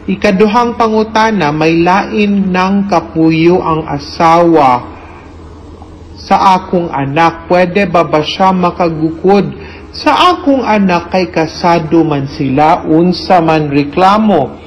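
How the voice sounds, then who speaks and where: A woman with a Cebuano Filipino accent giving legal advice as a radio host, deliberate and emphatic, mixing in English. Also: fairly low pitch, a little hiss or hum.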